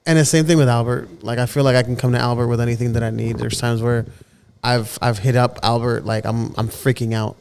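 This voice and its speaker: An earnest man in his thirties, speaking at a middle pitch in a loose podcast conversation. He often doubles words as he works out what to say, and his tone is open and sincere. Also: fairly even intonation, fairly quick, vocal fry, few pauses, darkish tone, slight background noise. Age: 30s. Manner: earnest, repeats words as he gathers his thoughts. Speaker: podcast co-host. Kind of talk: casual heartfelt chat.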